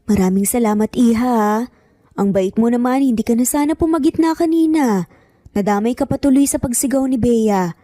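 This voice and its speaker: A kindly, grateful elderly lady, acted by a female storyteller in a romance audio drama. She talks gently in a mellow, slightly high voice. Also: normal speed, dry recording, studio quality, close to an everyday voice.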